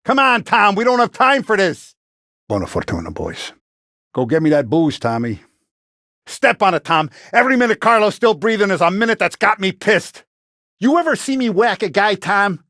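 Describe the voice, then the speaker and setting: Tough, animated Italian-American mob boss. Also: male voice, sits high, moderate pace, no echo, clean studio sound, full character voice. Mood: irritated, then calm, then stern, pressing. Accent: American, Italian-American gangster. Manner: streetwise, blunt, authoritative. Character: tough middle-aged Italian-American mafia boss, commanding and vengeful. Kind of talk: video game voice acting, 1930s gangster talk.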